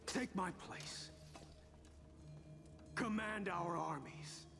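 An actor playing a resolute, proud samurai champion in a video game, speaking in a terse, solemn and commanding way. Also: male voice, high-pitched, drawn-out words, gravelly, full character voice. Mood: defiant, urgent. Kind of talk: dramatic video game cutscene acting. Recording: game audio with loud music underneath. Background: loud music.

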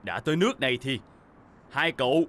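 A man's voice: mid-range voice, lively intonation, a little fast, fairly bright tone, lightly acted. A man voicing a stern, scolding policeman in a children's cartoon dub, firm and authoritative. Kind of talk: animated children's cartoon dubbing.

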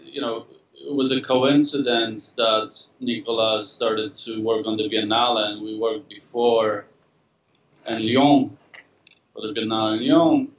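A middle-aged man speaks at an unhurried, halting pace, full of fillers and self-corrections. His voice comes through a laptop video call, dull and far from the mic, with a roomy echo in the studio room. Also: medium pitch, fairly bright tone, slight background noise. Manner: slow, hesitant, many fillers and restarts. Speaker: interviewed artist. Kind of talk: thoughtful interview answers. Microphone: laptop webcam on a video call.

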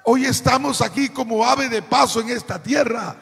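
A middle-aged man preaching an impassioned sermon as a pastor, declaiming emphatically with repeated phrases in a raspy voice. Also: very high voice, normal speed, a bit bright. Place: church hall with congregation, large indoor room. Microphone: handheld microphone through PA. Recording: echoey hall PA with long reverb.

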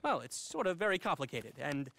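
An actor playing an eccentric, chatty young gentleman in a video game, rambling and hesitant with jaunty, quaint slang. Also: a little high, sing-song, a little fast, vocal fry, heavily acted. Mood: nervous, earnest. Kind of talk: video game cutscene acting.

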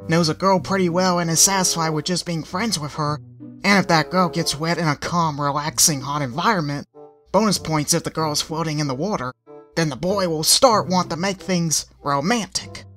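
A woman's voice: low voice, normal speed, a bit bright, soft background music, pitched above the performer's own voice. A woman doing a cocky young dragon girl. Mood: smug. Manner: cocky, smirking. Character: smug know-it-all young female dragon.

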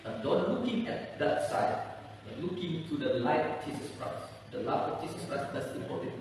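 High-voiced preacher booming in an echoey hall. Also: male voice, lively intonation, moderate pace, a little hiss or hum. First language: Indonesian. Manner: preaching with sudden loud high-pitched rises, pacing and gesturing. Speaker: pastor. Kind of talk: sermon. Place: large bare community hall, indoors. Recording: echoey hall, voice far from the mic.

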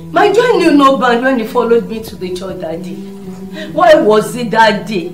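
A tearful wife pours out a desperate prayer to God,. Her voice is high and swings widely in pitch, and her slow, pleading words sit over soft music. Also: low-quality recording. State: crying.

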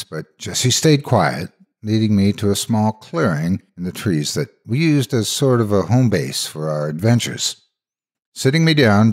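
A man with a fairly low, husky voice narrates a horror story. His delivery is measured and dramatic, with lively rises and falls in pitch as the scripted tale unfolds.

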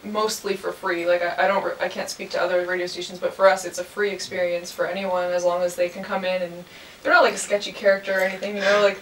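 A woman's voice: on the low side, flat delivery, fast, fairly bright tone. A woman in her twenties answering interview questions in a casual, informal, hedging way. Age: 20s. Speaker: college radio station manager, interview guest. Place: indoor lounge with amps and instruments. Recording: roomy, distant mic, faint noise.

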